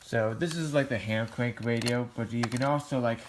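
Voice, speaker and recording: A man's voice: medium pitch, steady tone, normal speed, noisy background. A man casually reviewing gadgets for a hobby video in a hesitant, rambling, plain-spoken way. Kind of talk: casual product review to camera.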